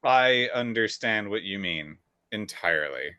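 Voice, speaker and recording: A man's voice: on the low side, lively intonation, unhurried, bright, forceful tone, no echo, clean studio sound. A man chatting casually as a co-host on a podcast.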